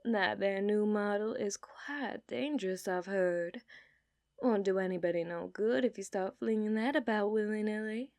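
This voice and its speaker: A woman voicing an obsessive, dominant outlaw cowgirl in a put-on Southern accent, for an ASMR roleplay, teasing and dropping into soft whispers. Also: mid-range voice, moderate pace, no room sound, clean studio sound, natural voice. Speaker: ASMR performer.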